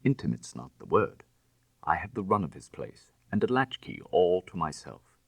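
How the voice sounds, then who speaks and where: Guy reading as a suave gentleman crook, low husky voice, jaunty n teasing, bossy in a breezy storybook way. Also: big pitch swings, normal speed, no echo, heavily acted. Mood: cool, assured. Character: suave cynical gentleman burglar, breezy and commanding. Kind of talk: dramatised audiobook reading of classic fiction.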